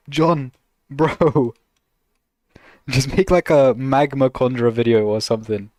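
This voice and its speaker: A man's voice: mid-range voice, fairly even intonation, takes it slowly, darkish tone, dry recording, clean studio sound. A man casually reacting to videos on a stream, laughing a lot and teasing in a slangy, joking way.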